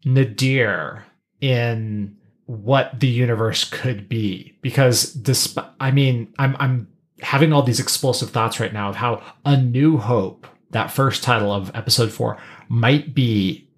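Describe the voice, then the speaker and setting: A man's voice: medium pitch, slow pace. A man hosting a podcast, talking casually about pop culture and thinking aloud in a rambling way. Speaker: podcast host.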